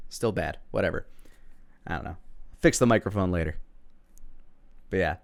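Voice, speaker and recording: A man's voice: sits low, normal speed, gravelly. A man giving casual, self-critical commentary on his own video, thinking aloud as he goes.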